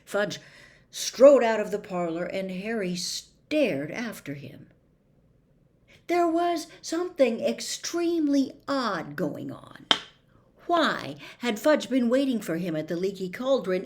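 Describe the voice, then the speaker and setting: A woman reading a novel aloud as an audiobook narrator, in an expressive storytelling style. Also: on the high side, takes it slowly.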